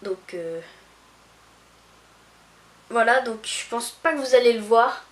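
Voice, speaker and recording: A young woman, chatting casually through a beauty haul, full of pauses and restarts. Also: fairly high pitch. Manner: hesitant, many fillers and restarts. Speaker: beauty vlogger. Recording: echoey room.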